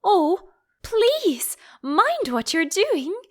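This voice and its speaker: A woman's voice: extremely high pitch, sing-song, extremely slow, a little mellow, pitched above the performer's own voice, full character voice. A woman voicing a polite, earnest storybook little girl with a prim manner, eager to show what she knows. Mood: alarmed.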